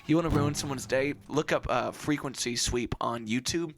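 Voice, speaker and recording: A man's voice: medium pitch, moderate pace, slight background noise. Laid-back man musician hosting a chat. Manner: loose, rambling, profane, joking. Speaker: podcast host and musician. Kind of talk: casual chat about music gear.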